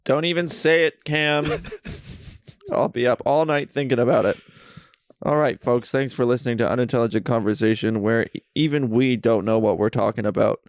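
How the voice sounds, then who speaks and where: A man's voice: mid-range voice, normal speed, no echo, studio quality. A young man co-hosting a podcast, reading a comedy script in a playful, mock-philosophical way and giggling often. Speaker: podcast co-host. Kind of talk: comedic read-through of a script. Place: friend's basement.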